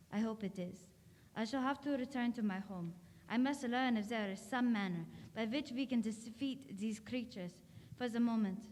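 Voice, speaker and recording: A woman's voice: fairly high pitch, a little mellow, lightly acted. Woman as commanding doctor, reverberant hall. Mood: grave. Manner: authoritative, deliberate, brusque. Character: commanding, grave woman doctor investigating the supernatural. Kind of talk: radio drama acting.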